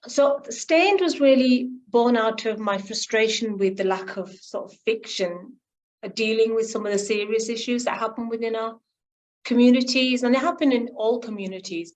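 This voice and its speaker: A middle-aged woman speaking as a guest author in a radio discussion, earnest and halting, often repeating herself. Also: fairly high pitch, low-quality recording.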